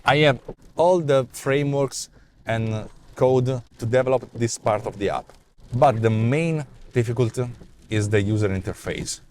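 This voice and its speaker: A man's voice: medium pitch, unhurried, slight background noise. Italian-accented man rambling in a car. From Italy. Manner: animated, gestures a lot, many repeats and fillers. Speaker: vlog host and app developer. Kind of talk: casual spoken rant. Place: inside a parked car, daytime. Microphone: clip-on lapel mic.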